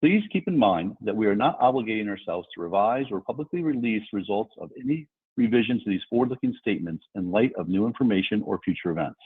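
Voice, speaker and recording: A man with a fairly low, husky voice reads a formal corporate script as an investor relations executive. He comes through a tinny, phone-like conference line. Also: lively intonation, quick pace. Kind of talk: scripted formal disclaimer reading.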